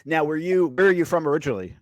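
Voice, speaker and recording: A podcast host in his forties asking long, rambling questions in a measured way, full of fillers. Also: fairly high pitch, expressive, no room sound. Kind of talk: casual interview chat. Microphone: headset, studio. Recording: dry, close mic.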